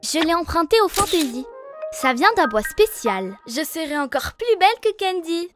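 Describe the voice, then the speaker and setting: A woman's voice: very high-pitched, very animated, moderate pace. A woman voicing a vain, showy little baby girl in a French children's cartoon. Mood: boastful. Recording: music behind the voice.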